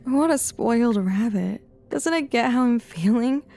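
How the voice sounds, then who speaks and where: A woman playing a troubled, weary high school girl in an audio drama, brooding and talking to herself. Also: lively intonation, a little slow, creaky, mellow, soft background music. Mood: exasperated.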